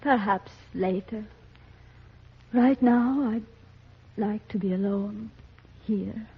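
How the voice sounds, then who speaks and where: An actress playing a gentle, desperate mother in a 1940s radio drama, pleading and melodramatic. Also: medium pitch, slow, breathy edge, full character voice. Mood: numb, subdued. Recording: muffled vintage radio recording.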